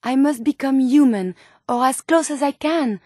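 A woman's voice: high voice, normal speed, mellow, no echo, clean studio sound. An actress voicing a polite, curious female robot scientist from a video game, with a French accent and formal, precise, earnest phrasing. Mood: earnest, musing. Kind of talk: video game companion dialogue.

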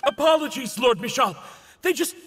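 A frightened male mercenary underling in a video game. His voice is very high and sharp, frantic and stammering, ringing in a large reverberant hall. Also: animated, moderate pace, slightly rough, full character voice. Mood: panicked.

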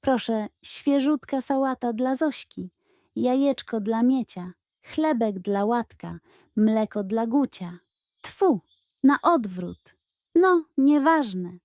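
A high, theatrical pug voiced by a woman from a children's bedtime story. He is gluttonous and weak-willed, pleading his case with sheepish drama. The delivery is unhurried and closely recorded, with very little room sound. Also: a little mellow, dry recording, studio quality. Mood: fussy serving.

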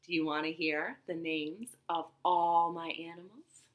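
A young woman hosting a children's storytime at home, reading aloud and chatting to young children in an animated, deliberate way. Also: on the low side, dull audio. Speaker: library storytime host and reader. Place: small living room at home, indoors. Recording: roomy living-room echo.

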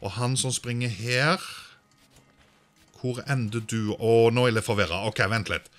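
A man's voice: medium pitch, a bit bright. A man doing casual let's-play commentary, thinking aloud and sprinkling in English exclamations. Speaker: gaming video host.

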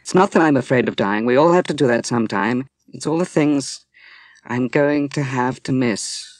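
A man voicing a young woman who is drunk, bitter and despairing, with a rising, shrill, emotional delivery. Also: lively intonation, a little fast, no echo, natural voice. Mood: angry.

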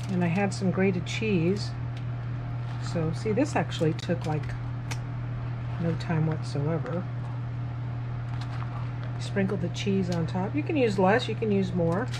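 A woman's voice: fairly low pitch, slow, long pauses, background noise. A woman casually talking through a recipe step by step as she cooks in her home kitchen, filming on a handheld phone. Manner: chatty, self-narrating each step. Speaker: home cook vlogger. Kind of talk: casual cooking demonstration.

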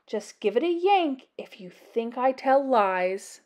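A woman voicing a brash, excitable young schoolboy from a storybook, exclaiming and insisting. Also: fairly high pitch, slow pace. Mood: insistent. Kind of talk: storybook character voice.

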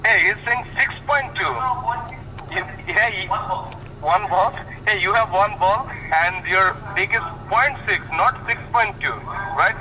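Man putting on a high male voice, brash Russian pimp, badgering, broken English, prank phone call, noisy speakerphone. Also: slow. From Russia (claimed in character). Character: brash, taunting Russian pimp named Anatoly.

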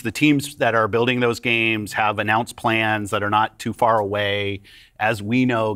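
A middle-aged man, a gaming company executive, answering podcast interview questions in a calm, deliberate way. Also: lowish voice, no echo, studio quality. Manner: calm and deliberate, careful with his words. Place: studio set.

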